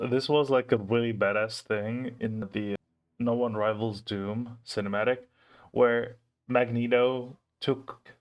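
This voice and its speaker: A man giving casual reaction commentary as a host, repeating words as he thinks aloud. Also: medium pitch.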